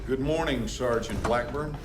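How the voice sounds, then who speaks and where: An actor playing a composed government agent leading an interrogation in a video game cutscene, with measured, dryly pointed questions. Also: male voice, mid-range voice, very noisy, natural voice. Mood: dry, controlled.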